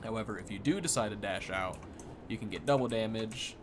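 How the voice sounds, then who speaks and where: A man's voice: fairly low pitch, fairly quick, very noisy. A man giving casual, off-the-cuff game commentary in an informal way, thinking aloud as he goes. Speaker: gaming content creator giving a first look.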